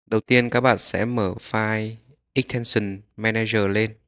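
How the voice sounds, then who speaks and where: Guy with a fairly low voice doing a screen tutorial, instructions one step at a time. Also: steady tone, measured pace, fairly bright tone. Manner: instructional, narrating each click as it happens. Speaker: software tutorial host.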